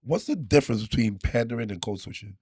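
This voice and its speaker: A man's voice: mid-range voice, expressive, quick pace, a little mellow, slightly rough, dry recording, studio quality. An American man co-hosting a podcast with playful, casual banter and frequent interjections. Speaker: podcast co-host.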